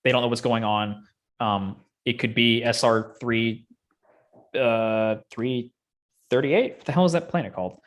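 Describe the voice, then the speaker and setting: A man's voice: mid-range voice, a little slow. Male podcast host, mid-range voice, slangy and opinionated, loose rambling conversational delivery. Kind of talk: casual chat about games and films.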